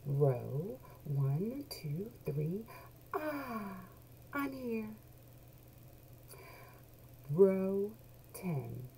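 A middle-aged woman reading a children's picture book aloud expressively, drawing out key words in a small room at home. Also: medium pitch. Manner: animated storyteller, deliberate, drawn-out key words. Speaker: children's book read-along host. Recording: noisy home webcam recording.

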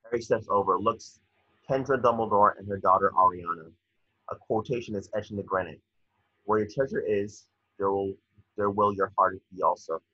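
Husky, low-pitched man reading stage directions. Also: normal speed, bright, forceful tone, no room sound. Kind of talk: scripted narration at a table read. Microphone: video call. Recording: dull lo-fi video call audio.

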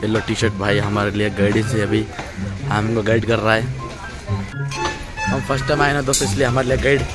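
Young male travel vlogger, selfie phone audio, loud music bed underneath. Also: lowish voice, flat delivery, brisk. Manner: chatty, laughing, addresses viewers as friends. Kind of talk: casual travel vlog. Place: outdoors on busy town streets and at a tourist site. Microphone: phone held at arm's length, selfie. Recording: loud background music over the talk.

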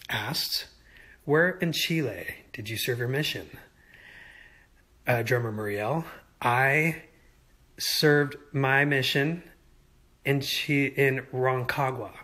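A young man in casual livestream chat from home, talking easygoing and rambling into a phone held at arm's length. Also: medium pitch. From United States. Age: young adult. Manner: easygoing, rambling, slow-paced, lively. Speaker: singer answering fan questions. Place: indoors at home.

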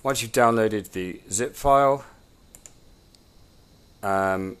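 A lowish-voiced man walks through a technical setup at his table at home, talking casually with plenty of ums. He has a cold and sounds a little snuffly throughout. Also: slow, fairly bright tone, clear, resonant tone. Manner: informal, rambling, many ums. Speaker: drone instructor. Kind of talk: hands-on tutorial walkthrough.

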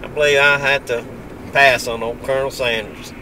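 A man's voice: mid-range voice, steady tone, moderate pace, distant mic. An older man in casual banter from a car passenger seat, dry and teasing, laughing often. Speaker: co-host. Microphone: camera on the dashboard. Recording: car camera, road noise behind.

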